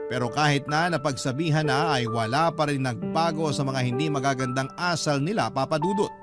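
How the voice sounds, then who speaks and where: A male radio storyteller reads a personal letter in the first person. His voice sits a little high and sounds earnest and measured, with music clearly playing beneath it. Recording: clear music bed under voice.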